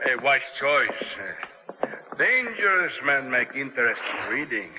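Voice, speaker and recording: An actor playing a formal, self-important South American prison colonel in a 1970s radio drama, with unctuous politeness. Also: male voice, mid-range voice, sing-song, a little slow. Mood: smooth, formal. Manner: formal, unctuous, hesitant with uhs. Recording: noisy old radio recording, long reverb.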